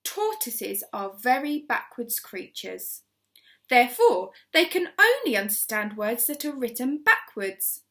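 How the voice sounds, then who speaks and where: A woman voicing an eager, confident older man who explains and coaxes in an emphatic, deliberate way, reading from a storybook. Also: a little high, sing-song, a bit bright, heavily acted. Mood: confident, explaining.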